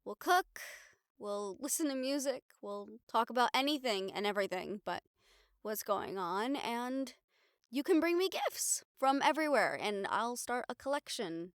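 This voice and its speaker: A woman acting a young reporter girlfriend in an intimate audio roleplay, wistful but bravely upbeat, musing in halting, expressive phrases. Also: a little high, clear, resonant tone, no echo, clean studio sound. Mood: hopeful, reassuring.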